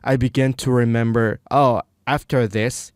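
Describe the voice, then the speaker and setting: Slow-talking Indonesian man, clear voice. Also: medium pitch, steady tone, no echo, clean studio sound. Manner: unscripted, many restarts and fillers. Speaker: language learner. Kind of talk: casual monologue.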